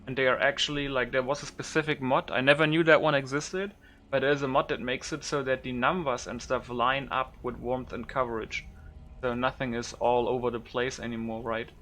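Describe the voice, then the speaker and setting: A man's voice: mid-range voice, fairly even intonation, brisk, fairly bright tone, a little hiss or hum. A man doing loose, informal game commentary as a YouTuber, thinking aloud without a script. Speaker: gaming YouTuber showing a modded game. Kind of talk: casual unscripted game commentary.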